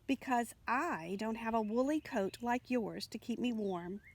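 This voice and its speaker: A woman's voice: fairly high pitch, measured pace, a little hiss or hum. A woman voicing a breezy, independent male butterfly in a children's storybook, airy and self-assured. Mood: considering.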